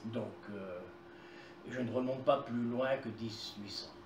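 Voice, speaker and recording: A middle-aged or older man answers interview questions plainly and briefly. His pitch is average. He is heard in a reverberant room with clear background noise. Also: unhurried, distant mic. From Belgium, Tournai area. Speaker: interview guest, genealogist and author.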